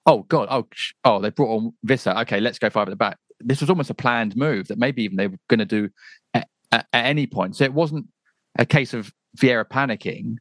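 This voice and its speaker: A man's voice: mid-range voice, brisk, no echo. A man hosting a football podcast, talking in a chatty way with lots of restarts and asides. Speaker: podcast host. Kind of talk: casual football chat.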